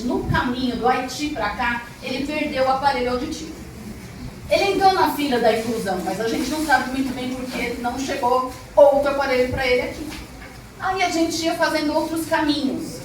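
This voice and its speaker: A middle-aged woman, a storyteller and arts teacher, telling stories expressively and chatting directly with a live audience. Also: sits high. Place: echoey room with a live audience. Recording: echoey room, distant mic, noisy ambience.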